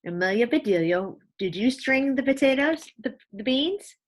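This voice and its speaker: A woman's voice: high-pitched, expressive, normal speed, pitched above the performer's own voice. A woman voicing a bossy, exasperated lady of the house in a children's storybook, exclaiming and demanding. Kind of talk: children's storybook read-aloud.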